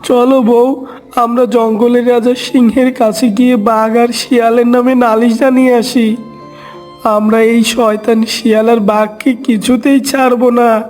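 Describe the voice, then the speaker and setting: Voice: mid-range voice, level tone, measured pace, a little mellow, faint music behind, lightly acted. A performer voicing a resolute, vengeful father duck in a cartoon story, with a demanding manner.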